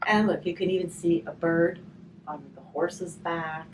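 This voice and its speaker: Low-voiced woman, distant and noisy recording. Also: steady tone, measured pace. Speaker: hospice volunteer leading a grief session. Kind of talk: gentle spoken session with storybook read-aloud. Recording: distant microphone, clearly noisy room ambience.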